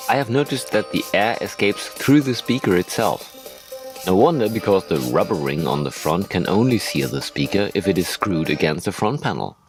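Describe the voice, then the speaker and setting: Lively low-voiced man narrating, music behind. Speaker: hobbyist video host. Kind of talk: tutorial voice-over narration.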